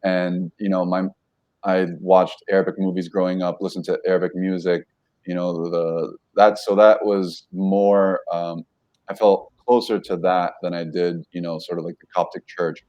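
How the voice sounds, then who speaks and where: A man with a notably deep, low-pitched voice talks through his background as an interview guest. He rambles a little, stops to correct himself and leans on fillers as he goes. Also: level tone, moderate pace, warm tone, highs cut off. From United States. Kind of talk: casual interview talk.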